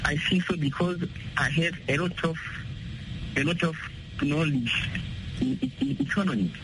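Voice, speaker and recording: A man of twenty-two with a Congolese French accent, speaking haltingly as a student practising conversation. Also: a little high, big pitch swings, gravelly, noisy background. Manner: hesitant, repeats words. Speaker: student guest.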